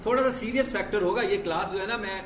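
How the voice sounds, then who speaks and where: High-voiced male teacher in an echoey classroom. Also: quick pace, fairly bright tone. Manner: explains through rhetorical questions and answers them himself; stern with students about discipline. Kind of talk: classroom lecture. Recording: echoey classroom with faint background noise.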